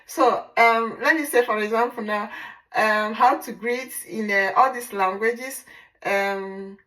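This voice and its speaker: A Nigerian woman whose first language is Igbo, speaking English with a Nigerian accent as a language teacher in a casual vlog, drilling words slowly and repeating them. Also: on the high side, fairly even intonation, fairly bright tone, poor audio. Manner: repetitive drilling, slow deliberate pronunciation.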